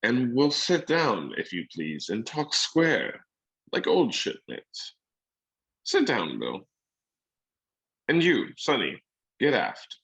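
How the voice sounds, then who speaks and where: A man's voice: medium pitch, huge pitch swings, slow pace, vocal fry, long pauses, fairly bright tone, husky, exaggerated voice, rough recording. A man playing a sly, menacing old pirate who coaxes in rustic seafaring talk for a radio drama. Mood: falsely friendly, menacing.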